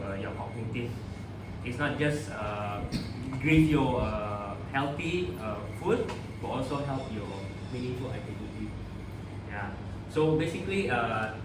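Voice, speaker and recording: A Singaporean man gives an informal talk to a seated group, pausing often on fillers and asking "okay?" to check they follow. He sounds distant from the microphone, in a very reverberant room with clear background noise. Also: medium pitch. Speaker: community workshop presenter. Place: community activity center room. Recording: distant roomy noisy room recording.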